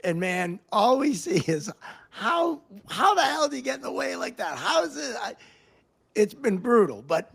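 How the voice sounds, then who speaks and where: A man's voice: very high-pitched, big pitch swings, moderate pace, vocal fry, a bit bright, husky. A man hosting a podcast, interviewing a guest in a casual conversational style. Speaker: podcast host.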